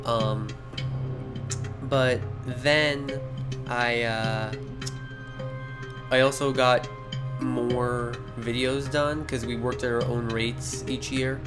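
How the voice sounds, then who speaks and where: A man's voice: mid-range voice, steady tone, very slow, long pauses. A teenage male talking casually and rambling, often correcting himself, as he narrates a video retrospective. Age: teens. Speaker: video creator narrating their early animations. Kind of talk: casual voice-over commentary. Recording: music behind the voice. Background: background music.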